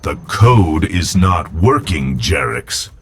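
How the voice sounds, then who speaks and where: A man's voice: low voice, very animated, takes it slowly, faint music behind, full character voice. A man playing a hard, impatient pirate starship captain in an audio drama, curt, snarling and domineering. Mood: cold anger.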